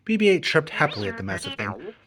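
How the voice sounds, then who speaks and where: A man narrating a children's story as an expressive read-along. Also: fairly high pitch, fast. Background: music and sound effects.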